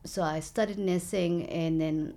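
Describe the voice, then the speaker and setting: Woman, 40s, lowish pitch; earnest interviewee, halting delivery. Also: fairly even intonation, warm tone. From Somalia. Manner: earnest, halting, many fillers and restarts. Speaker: local election candidate. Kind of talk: interview, personal story.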